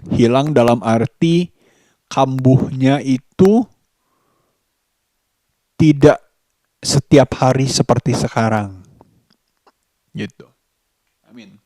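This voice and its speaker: Slow-talking man, dry close sound. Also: medium pitch, mellow, no echo. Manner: halting, reflective, with long pauses mid-sentence. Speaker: vlogger. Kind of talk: casual spoken daily vlog monologue.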